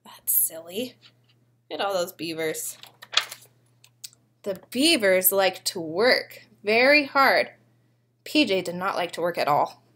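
A young woman reading a picture book aloud to small children like a preschool teacher, expressively and often pausing to ask them questions. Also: medium pitch, big pitch swings, a bit bright, slight background noise. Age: young adult. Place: living room at home.

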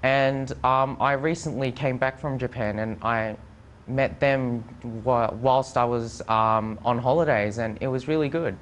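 A man's voice: mid-range voice, steady tone, unhurried, fairly bright tone, a little hiss or hum. A young adult man giving an unscripted testimonial as a former exchange student. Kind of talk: unscripted interview testimonial to camera. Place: indoor studio with plain backdrop.

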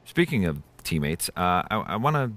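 An actor playing a genial, self-deprecating American sports talk show host in a game, chatty and a little hesitant. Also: male voice, low voice, very animated, moderate pace, fairly bright tone. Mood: chatty, curious.

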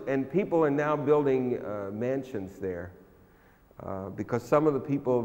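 An older man, a guest lecturer, answering audience questions in a relaxed, hesitant way with many pauses. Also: mid-range voice, muffled recording. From United States. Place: large frescoed hall, indoors. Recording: long hall reverb.

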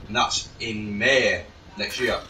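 Teen lad with a South Yorkshire accent. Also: male voice, fairly low pitch, steady tone, takes it slowly, reverberant, distant mic, noisy background. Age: teens. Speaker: gaming co-player. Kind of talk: casual gameplay chat. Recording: game audio with gunfire behind. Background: gunfire from the game.

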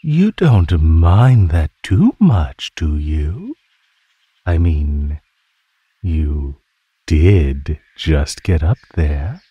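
A man voicing a predatory male naga in an ASMR roleplay, husky and breathy, teasing his prey in a mockingly sweet drawl. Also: a bass voice, big pitch swings, drawn-out words, warm tone, dry recording, lo-fi sound, exaggerated voice. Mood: amused teasing. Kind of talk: ASMR roleplay, scripted monologue.

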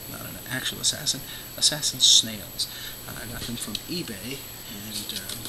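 A man hosting a home aquarium vlog, his voice hoarse and scratchy from illness and often fading to a whisper. He rambles in a relaxed way with plenty of fillers. Steady background noise sits under the recording. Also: medium pitch. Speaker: aquarium vlogger, show host. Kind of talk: casual talk to camera.

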